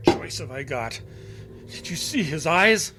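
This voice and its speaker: Radio drama role: elderly man with a guilty secret, weary, halting, swinging intonation. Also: on the high side, expressive. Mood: agitated. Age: older. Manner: halting, repeats words, muttering. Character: weary, guilt-ridden old man hiding a murder. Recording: faint background noise.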